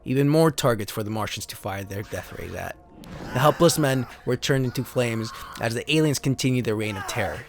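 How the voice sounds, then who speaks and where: A man's voice: mid-range voice, fairly quick, slight background noise. A man giving a theatrical, solemn dramatic reading of pulp science fiction stories, as a narrator. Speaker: narrator and host. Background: sound effects and ambience.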